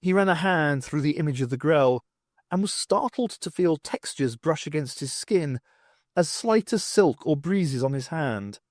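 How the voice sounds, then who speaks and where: Male narrator for sci-fi audiobook: lively, expressive, slightly high voice. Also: a little slow, no echo, clean studio sound. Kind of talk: scripted narration of science fiction.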